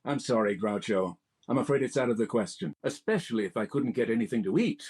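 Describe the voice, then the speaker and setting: A man's voice: mid-range voice, animated, fast talker, a little mellow, slightly rough. An actor playing an earnest, theatrical straight man, a famous director, in a 1940s radio comedy. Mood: reluctant.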